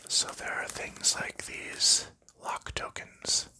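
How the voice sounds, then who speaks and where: A man whispering softly through an ASMR board game show-and-tell, unhurried and gentle, with frequent fillers. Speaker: ASMR creator.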